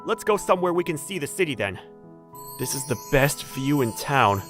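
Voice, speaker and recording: A man acts out a lovestruck, earnest young factory owner in a manga dub. His pitch leaps around freely as he gets flustered. Soft music plays underneath his lines and inner thoughts. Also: sing-song, moderate pace. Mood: earnest, nervous. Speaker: voice actor, lead and narrator.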